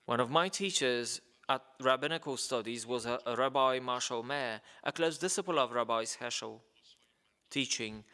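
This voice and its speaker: A man's voice: mid-range voice, sharp tone. Hesitant man reading in a reverberant hall. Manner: hesitant, many fillers, stumbles on names. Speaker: reading a guest's letter aloud at a ceremony. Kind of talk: formal speech read aloud. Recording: long reverb of a large hall.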